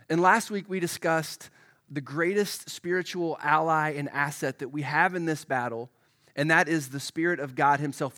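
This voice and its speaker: A male pastor delivers a live sermon with a touch of froggy hoarseness from a head cold. His voice sits a little higher than most men's, and he keeps it conversational, turning to the congregation with questions as he goes. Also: a bit bright.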